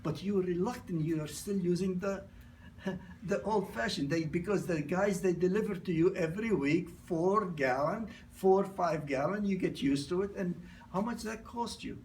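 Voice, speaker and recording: A gravelly-voiced older man with a non-native accent pitches a product in loose, broken English and drifts into personal stories. His voice sits a little high, and he is caught from a distance with a faint hum of the room behind him. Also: normal speed, darkish tone. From grew up in New York. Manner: broken grammar, repeats and restarts, storytelling. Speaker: product presenter. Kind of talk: informal sales presentation with anecdotes.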